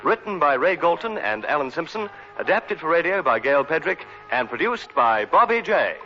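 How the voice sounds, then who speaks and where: Bright-voiced male radio announcer. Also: a little high, sing-song, moderate pace. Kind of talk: scripted radio closing credits. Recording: dull, reverberant vintage radio recording. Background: music and faint crowd.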